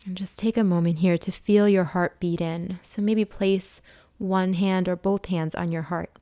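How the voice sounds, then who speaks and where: A woman leading a guided body scan meditation as a teacher, speaking in a soothing, hushed way with long pauses. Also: on the low side, steady tone, dry recording, studio quality.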